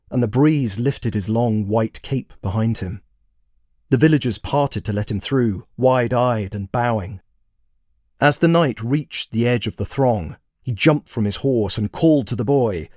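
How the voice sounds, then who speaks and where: A man's voice: medium pitch, darkish tone, no room sound, clean studio sound. A man narrating a story expressively, in the manner of a storybook reading. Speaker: author and narrator.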